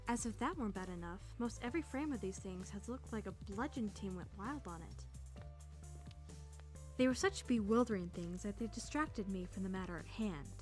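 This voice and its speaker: A woman's voice: mid-range voice, close to an everyday voice. A woman playing a sarcastic, easily flustered teenage girl trainee in a visual novel dub, narrating her thoughts and stammering when nervous. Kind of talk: first-person visual novel narration and dialogue. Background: music.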